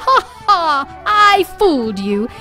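A woman's voice: very high-pitched, very animated, slow, sharp tone, faint music behind, pitched above the performer's own voice, full character voice. A woman voicing a tiny, tricky male leprechaun who gloats and teases in a storybook. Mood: gleeful, taunting. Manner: teasing, gloating.

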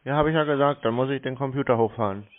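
A man's voice: mid-range voice, very fast. A man in a casual phone conversation as a customer, answering in a dry, stubborn way. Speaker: scam-baiter posing as customer. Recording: muffled narrow-band phone line.

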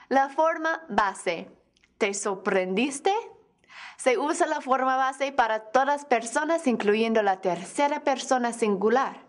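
Young female teacher, on-camera studio lesson, slow and clear, slightly high voice. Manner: slow, careful explanation to learners. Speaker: language teacher. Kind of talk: scripted video lesson.

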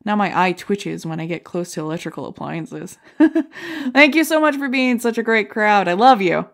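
A woman's voice: medium pitch, expressive, normal speed, gravelly. Brash, self-deprecating stand-up comedienne played by a woman. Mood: wry, playful. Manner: jokey, rhetorical, punchline-driven delivery. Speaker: comedy contest contestant. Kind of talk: stand-up comedy routine in an audio drama.

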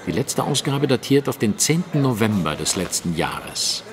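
A man's voice: medium pitch, very animated, normal speed, crowd noise, close to an everyday voice. A man playing a keen, self-assured detective in an audio drama, speaking with formal precision. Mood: analytical.

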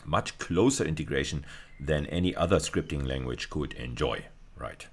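A middle-aged man giving a recorded technical lecture as a university lecturer, explaining in a measured, hesitant way. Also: a bass voice. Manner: measured, hesitant, explanatory, with frequent check-in tags.

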